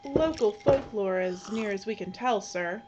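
An actress playing a businesslike filmmaker in an audio drama, cautious, polite and matter-of-fact. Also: female voice, medium pitch, measured pace, highs cut off, background noise, lightly acted.

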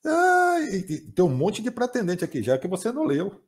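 A man's voice: sits high, wildly swooping pitch, moderate pace. A man in his fifties hosting a casual live stream from a home room with bookshelves, teasing his co-host and reading viewer comments aloud. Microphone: headset.

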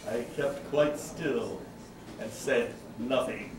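A man in his sixties acting a mad, cunning, gleeful murderer in a live gothic horror monologue, theatrical, with deliberate pauses and sudden outbursts. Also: mid-range voice, far from the mic, voice pushed down, close to an everyday voice. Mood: tense, secretive. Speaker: stage actor. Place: small brick-walled cafe with seated audience. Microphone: distant camera microphone. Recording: roomy, noisy recording from across the room.

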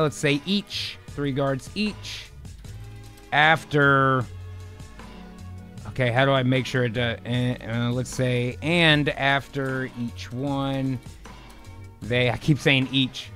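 A man's voice: medium pitch, bright, forceful tone. A man hosting and narrating a radio-play style show, mixing narration with casual banter. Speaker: host and narrator. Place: indoor home streaming room.

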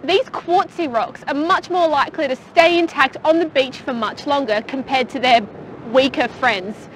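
A young woman talks through a geology lesson with playful enthusiasm, her voice set high. Light background rumble sits under her voice outdoors on a rocky beach. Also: normal speed, rough recording. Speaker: geologist presenter. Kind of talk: scripted science explainer.

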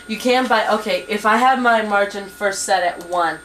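A young woman patiently teaching word processing at a desk computer, with many pauses and ums, recorded on a 1990s camcorder in a small home office. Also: medium pitch, a bit bright. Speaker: computer instructor. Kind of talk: hands-on software tutorial. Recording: 1990s camcorder with faint hiss.

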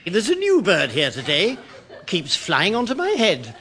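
Hapless, innocent British civil servant, high and bright, for a vintage radio sitcom. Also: male voice, wildly swooping pitch, a little slow, full character voice. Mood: cheerful. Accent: British English. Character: naive, hapless male junior civil servant, cheerfully tactless. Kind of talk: 1960s radio situation comedy acting. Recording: slightly noisy vintage radio recording.

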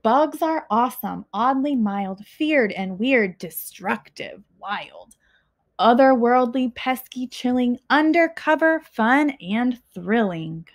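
A young woman reads aloud for children in a fairly high voice. She goes very slowly, setting off each short phrase with a pause and clear emphasis. It is an expressive storytime delivery, recorded in a small living room at home. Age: young adult. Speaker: teacher reading a picture book to children.

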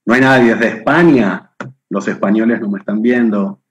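A man's voice: on the low side, normal speed. A middle-aged man from Argentina with an Argentine accent, hosting a live webinar from a room at home over a webcam and chatting as he greets viewers by name. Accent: Argentine Spanish. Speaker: webinar host. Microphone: webcam on video call.

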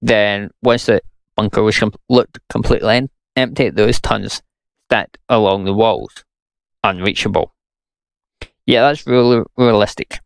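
A man's voice: fairly low pitch, steady tone, measured pace, vocal fry, no echo. A young Scottish man giving blunt, sarcastic gameplay commentary and ranting about a farming game. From Scotland. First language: English. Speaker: gaming YouTuber.

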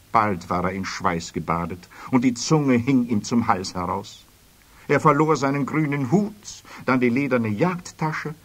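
A man narrating a children's story as scripted radio play narration. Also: mid-range voice.

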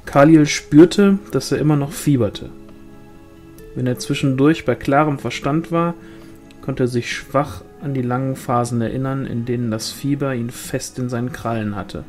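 A man reads a novel aloud in a scripted narrating style. His pitch sits in the middle range. Faint instrumental music plays behind his voice. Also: moderate pace, a little mellow. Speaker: audiobook reader.

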